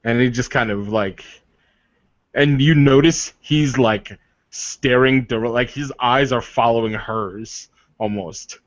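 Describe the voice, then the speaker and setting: A man narrating an improvised tabletop roleplay, halting as he searches for words. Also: medium pitch. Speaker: roleplayer narrating his character. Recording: dull, lo-fi, roomy sound.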